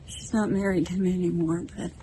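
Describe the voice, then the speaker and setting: A woman's voice: lowish voice, steady tone, fairly quick, warm tone, slight background noise. A middle-aged American woman talking casually and reflectively to camera as a vlogger, in a musing, slightly self-conscious conversational way. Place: outdoors in a park, partly in a parking lot.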